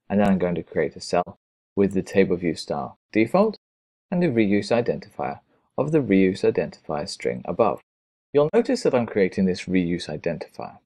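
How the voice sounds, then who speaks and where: A man's voice: on the low side, mellow. A man narrating a step-by-step programming screencast as an instructor, in a methodical, explanatory way.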